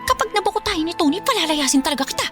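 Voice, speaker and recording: A woman's voice: very high voice, sing-song, very fast, music in the background. A woman voicing a scheming, cruel middle-aged mother who poses as an aunt, in a radio drama. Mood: scolding. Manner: sugary to husband, cruel and scolding to niece.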